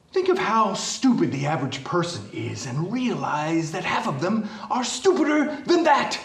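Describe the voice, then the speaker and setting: An actor playing an exasperated, sardonic English professor in a web series, speaking in an emphatic, theatrical and sarcastic way. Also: mid-range voice. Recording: roomy distant mic, music behind.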